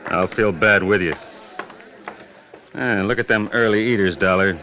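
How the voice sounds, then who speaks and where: An actor playing a sardonic, world-weary police sergeant in a radio detective drama, talking in wry streetwise slang. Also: male voice, fairly low pitch, normal speed, bright, forceful tone, muffled recording. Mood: sardonic grumbling. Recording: old radio recording with music.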